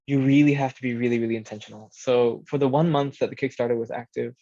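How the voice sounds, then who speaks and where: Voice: mid-range voice, fairly even intonation, fast, mellow. Earnest speaker on a dull, lo-fi line. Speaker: guest speaker, audio drama creator. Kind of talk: casual workshop talk and Q and A.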